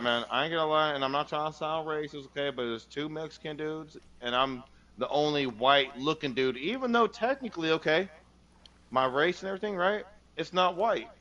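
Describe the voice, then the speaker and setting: A man in casual chat, telling a story loudly with lots of swearing. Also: fairly high pitch, dull audio. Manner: loud, swearing, animated storytelling.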